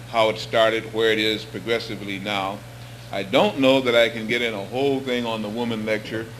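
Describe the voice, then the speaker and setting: Male lecturer, middle-aged, lowish voice, faint hum behind, podium talk. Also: fairly bright tone, rough recording. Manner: conversational, rising to emphatic points. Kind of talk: public lecture. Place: hall stage at a podium. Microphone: stand mics on stage.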